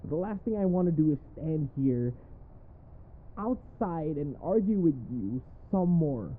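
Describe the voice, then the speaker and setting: Man acting a cocky, flirty office rival, swinging pitch. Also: lively intonation, narrow, tinny audio. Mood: tired, exasperated.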